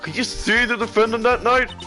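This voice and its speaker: A man's voice: extremely high pitch, fairly even intonation, pushed and bright, pitched above the performer's own voice. Old male judge for a courtroom game, voiced by a man: stern, formal, weighty delivery, music underneath. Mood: demanding. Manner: formal, deliberate, solemn. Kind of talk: video game character voice-over.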